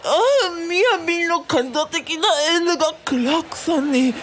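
A woman's voice: very high voice, normal speed, fairly bright tone. A woman playing a flamboyant, teasing male quiz show host in a radio drama, drawing out the suspense playfully. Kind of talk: theatrical game show presenting.

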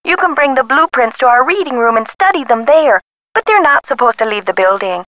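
An actress playing a helpful but bureaucratic records clerk in a video game, with an officious, matter-of-fact phone manner. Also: female voice, sits high, expressive, brisk, fairly bright tone, no room sound, dull audio. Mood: puzzled.

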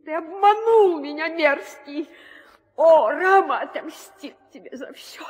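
A noble, defiant queen in an old audio drama. Her high voice declaims slowly and with anguish, carrying long reverb. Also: lively intonation. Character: noble, defiant captive queen, devoted wife. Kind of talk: theatrical 1960s audio drama acting.

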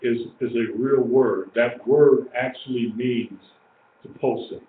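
A man's voice: medium pitch, fairly even intonation, takes it slowly. A man in his sixties giving an informal religious teaching, emphatic and repeating phrases for weight. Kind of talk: informal sermon, teaching to a small group. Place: small indoor room. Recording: echoey, tinny lo-fi webcam stream with hiss.

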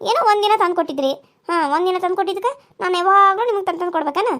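A woman's voice: extremely high pitch, racing, a bit bright. Woman playing a snappy young daughter-in-law. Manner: snappy, scolding, defiant.

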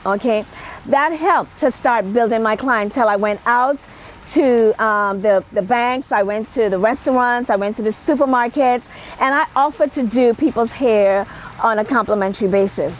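Trinidadian lady, middle aged, higher voice, emphatic pep talk to camera, bit of outdoor background noise. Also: moderate pace. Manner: emphatic, punctuated with "okay". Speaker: celebrity hairstylist and makeup artist. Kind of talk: motivational personal talk to camera. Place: outdoor patio at night.

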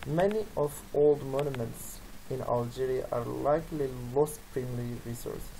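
Gravelly non-native English presenter. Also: medium pitch, warm tone, a little hiss or hum, poor audio. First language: not English. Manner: halting, non-native phrasing. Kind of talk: slide presentation, read from text with asides.

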